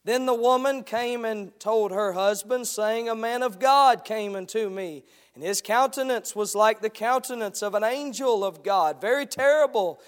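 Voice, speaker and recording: A man preaching a sermon as a guest preacher, slightly hoarse, starting with halting remarks and building into a rising preaching cadence while reading scripture. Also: high voice.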